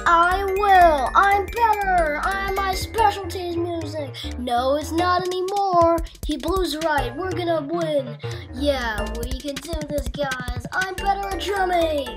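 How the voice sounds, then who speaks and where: A child improvising an amateur voice-over for a cartoon. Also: very high-pitched. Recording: music behind the voice.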